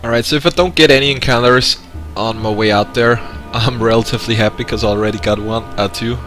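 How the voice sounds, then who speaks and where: A man's voice: mid-range voice, steady tone, fast talker, fairly bright tone. A man with a German accent, a native German speaker, chatting casually with viewers while playing a video game, rambling and restarting his sentences. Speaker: speedrunner. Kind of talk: casual live-stream commentary over gameplay. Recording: faint game music behind.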